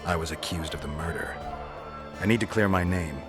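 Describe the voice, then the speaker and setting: Gruff male monster hunter, played by an actor, very low gravelly voice, terse and stoic, game drama with music behind. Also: a little fast, close to an everyday voice. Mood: grim, measured. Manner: terse, matter-of-fact. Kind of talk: video game acting.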